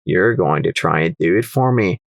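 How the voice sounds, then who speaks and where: A man's voice: low voice, animated, normal speed, creaky, dry recording, pitched below the performer's own voice. Guy doing a sly pony trader for a story, condescending and sneery, kinda airy, dry close recording. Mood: menacing, condescending. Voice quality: flighty, airy. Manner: sneering, condescending, calling others laddie. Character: shrewd, condescending, sly male pony merchant slave.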